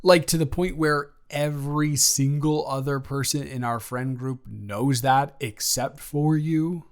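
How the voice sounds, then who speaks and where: A man playing a flustered, evasive young roommate in an improvised audio roleplay, rambling and halting with theatrical exasperation. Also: medium pitch, clean tone, natural voice. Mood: flustered confessing. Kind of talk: improvised intimate roleplay monologue.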